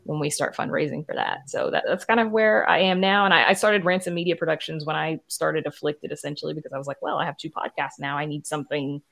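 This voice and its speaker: Low-pitched woman chatting in an interview. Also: steady tone, fast talker, vocal fry, hardly any pauses, a bit bright. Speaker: podcast creator and guest. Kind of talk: casual interview storytelling.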